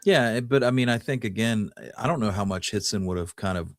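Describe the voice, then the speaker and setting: A man's voice: on the low side, brisk, no echo, clean studio sound. A man hosting a talk show, telling history in a casual, conversational way and explaining it in detail. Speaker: podcast host.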